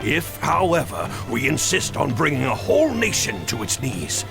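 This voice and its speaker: An actor playing a wise old general and royal counselor in a video game's stage play, grave, eloquent and declamatory. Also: male voice, mid-range voice, huge pitch swings, normal speed, vocal fry. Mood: grave, cautioning. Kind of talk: theatrical stage-play acting in a video game. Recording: music behind the voice.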